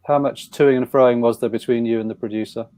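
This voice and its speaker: Mellow-voiced man interviewing. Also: medium pitch, steady tone, brisk. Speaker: interviewer and event chair. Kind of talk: live panel interview.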